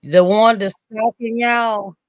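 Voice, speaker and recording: A caller phones in to a chat show, speaking slowly and haltingly. The sound is dry and close. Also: mid-range voice, steady tone, no echo. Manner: halting, restarts often. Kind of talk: casual call-in chat.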